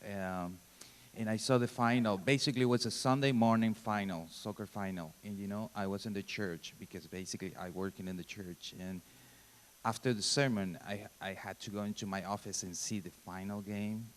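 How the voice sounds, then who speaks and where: A man in his thirties from Argentina, a native Spanish speaker with an Argentine accent, giving an informal mission talk at church in halting, simple English. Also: on the low side, fairly even intonation. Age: 30s. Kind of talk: church presentation. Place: church sanctuary. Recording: long hall reverb.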